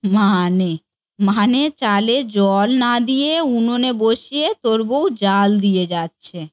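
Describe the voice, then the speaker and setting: A woman's voice: mid-range voice, measured pace, dry recording, studio quality, close to an everyday voice. A woman voicing a nagging, exasperated middle-aged mother-in-law in a comedy cartoon, with a sarcastic, lamenting way of talking. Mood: bitter. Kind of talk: Bengali comedy cartoon dialogue.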